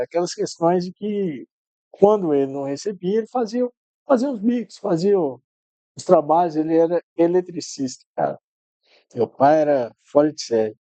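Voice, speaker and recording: A middle-aged man tells family stories in a relaxed, rambling way. His voice is mellow and a little high, and he often repeats words as he restarts. The sound is dry and close. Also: dry recording. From Minas Gerais, Brazil. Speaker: podcast guest. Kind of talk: casual interview chat.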